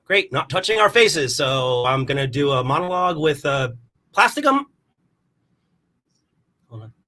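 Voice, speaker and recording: A man's voice: on the high side, very animated, unhurried, pushed and bright, slight background noise. A man performing a rambling comic monologue to camera, flustered, apologetic and self-deprecating, with theatrical exclamations. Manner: theatrical, exclaims in sudden outbursts. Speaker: comedic performer in an online showcase.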